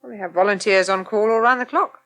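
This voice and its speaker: An actress playing a concerned English wife in a radio soap, practical, patient and gently reproving. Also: female voice, medium pitch, fast talker, natural voice. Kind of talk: British radio soap acting.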